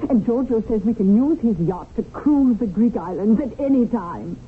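A woman's voice: on the high side, expressive, normal speed, lightly acted. Woman playing a seductive temptress, tinny radio. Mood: sultry coaxing. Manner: coaxing, insinuating. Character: seductive, greedy, manipulative female temptress. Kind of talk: radio drama acting. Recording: tinny, noisy vintage radio broadcast.

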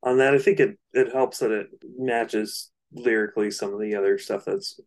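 A man co-hosting a casual discussion of music, speaking in hesitant, halting phrases. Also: on the low side, steady tone. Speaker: music discussion podcast co-host.

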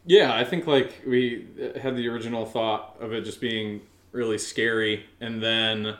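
American male co-host, lowish pitch, casual unscripted chat, quick to laugh. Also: fairly even intonation, fairly bright tone. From Connecticut, United States. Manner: laughs easily, loose and joking. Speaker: podcast co-host and audio drama maker.

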